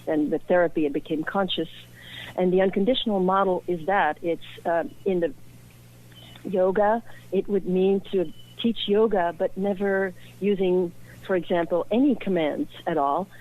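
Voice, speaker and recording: A woman's voice: lowish voice, steady tone, normal speed. Earnest woman on a tinny phone line. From Belgium. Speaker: guest, author. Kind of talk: personal interview.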